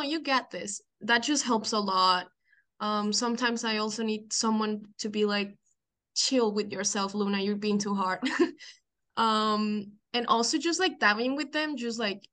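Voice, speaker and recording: A woman's voice: a little high, a bit bright. A young woman from Colombia with a Colombian Spanish accent, Spanish being her first language, speaking as an interview guest in a hesitant way with lots of "like" fillers. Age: young adult. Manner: hesitant, many "like" fillers, laughs often. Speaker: college diver, guest. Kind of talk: casual interview chat.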